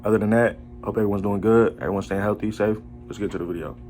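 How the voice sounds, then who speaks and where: A man's voice: on the low side, fast, over loud music. A man in his twenties hosting a reaction video, talking in a laid-back, casual way. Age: 20s. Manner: laid-back, relaxed, talks to chat. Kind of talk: casual commentary.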